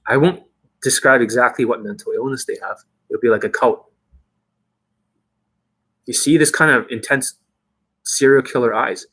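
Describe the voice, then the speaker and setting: Blunt, husky man ranting to camera. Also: medium pitch, moderate pace, pauses often, a bit bright, rough recording. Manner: blunt, swearing, rhetorical questions. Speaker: dating coach.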